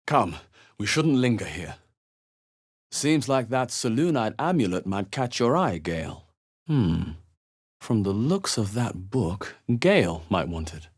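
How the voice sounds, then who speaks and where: A fantasy game hero, cool-headed and suspicious. His voice sits fairly low with a rough, breathy husk. He delivers short remarks in a dry, theatrical way. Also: very animated, a little slow, exaggerated voice. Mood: cool, watchful. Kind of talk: video game voice lines.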